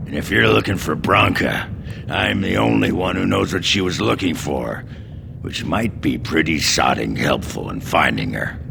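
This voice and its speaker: A man voicing a gruff, crude, hard-drinking dwarf warrior in a video game, gravelly and blunt. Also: extremely low pitch, background noise, heavily acted. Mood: boastful, blunt. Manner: blunt, coarse, slow-spoken. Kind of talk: video game character acting.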